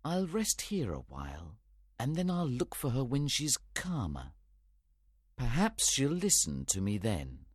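Man playing a husky, lovesick young man. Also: medium pitch, huge pitch swings, normal speed, a little mellow, no echo, clean studio sound, pitched above the performer's own voice. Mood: resigned. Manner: plaintive, theatrical. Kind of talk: storybook character voice.

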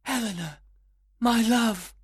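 A man's voice: on the high side, very animated, moderate pace, dry recording, studio quality, voice pushed up. A man voicing an earnest, romantic young male lover in a storybook, with dramatic delivery. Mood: lovestruck, urgent. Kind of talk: storybook character voice.

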